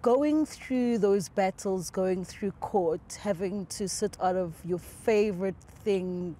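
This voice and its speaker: A woman hosting a sit-down interview, asking gentle, probing questions and murmuring affirmations. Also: mid-range voice, slow, slight background noise. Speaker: interview host.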